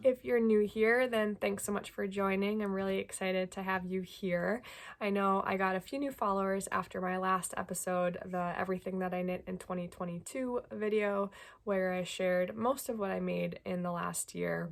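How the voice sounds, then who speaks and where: Young woman, stuffy nose, gravelly fry, casual chatty knitting host, frequent ums. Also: medium pitch, fairly even intonation, moderate pace. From Greater Portland, Maine. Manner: chatty, many ums, friendly. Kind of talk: casual chat to camera.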